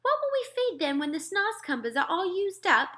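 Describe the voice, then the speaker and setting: Woman as storybook queen, gracious, curious, polite, bright voice. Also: extremely high pitch, big pitch swings, normal speed, voice pushed up, heavily acted. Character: gracious, curious queen praising her giant guest.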